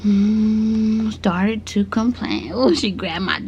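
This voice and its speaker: A woman reading children's stories aloud to her baby, speaking gently and breaking off for playful asides. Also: medium pitch, slow pace, dull audio, a little hiss or hum.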